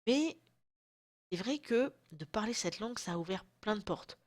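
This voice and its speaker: A woman in her thirties chats to her viewers in loose, slangy, self-mocking talk, her throat still a bit sore from a lingering cold. Her voice sits at an ordinary middle pitch. The sound is close and dry, with almost no room around it. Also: expressive, moderate pace, no room sound. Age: 30s. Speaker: vlogger. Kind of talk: casual chat to camera. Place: at home, indoors.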